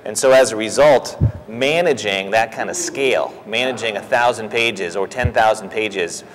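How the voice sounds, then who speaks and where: A man, a company president, explaining a technical topic in a steady, businesslike interview answer. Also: lowish voice, normal speed, slight background noise. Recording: reverberant room.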